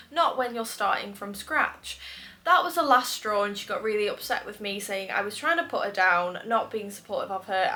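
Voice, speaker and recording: A woman's voice: mid-range voice, bright, forceful tone. A woman with a nasal voice from a cold, hosting a chatty video, reading posts aloud and laughing often. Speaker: host.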